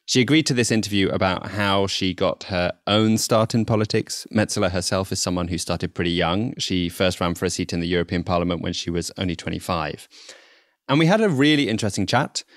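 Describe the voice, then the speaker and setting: A man's voice: low-pitched, brisk, no room sound, clean studio sound. A man co-hosting a podcast in a casual, self-deprecating, conversational way. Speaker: podcast co-host.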